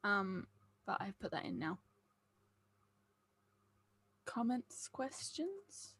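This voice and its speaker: A woman's voice: mid-range voice, sing-song, very slow, fairly bright tone, husky. A woman hosting a table read, chatting casually with the group. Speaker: host running a table read.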